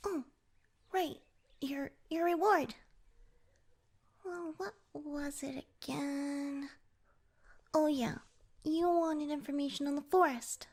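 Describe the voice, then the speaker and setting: Fantasy ASMR roleplay role: little pixie played by a woman, extremely high voice, flustered and stammering, proud, wary of humans. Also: fairly even intonation, slow, pauses often. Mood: relieved, explaining.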